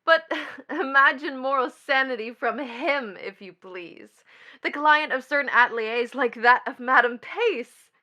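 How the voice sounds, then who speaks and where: An actress playing a mocking, bitter young stepdaughter in a stage drama, with a taunting, sardonic delivery. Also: female voice, sits high, a little slow, bright, forceful tone. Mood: mocking, scornful. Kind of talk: theatrical stage drama acting.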